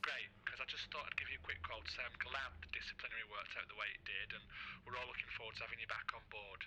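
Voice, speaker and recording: Guy acting a polite pushy company man on a work call, drama film, talks fast, noisy background. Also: mid-range voice, fairly even intonation, lightly acted. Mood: affable, coaxing. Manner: affable, persuasive, businesslike. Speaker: actor in a workplace safety drama. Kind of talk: naturalistic film drama dialogue.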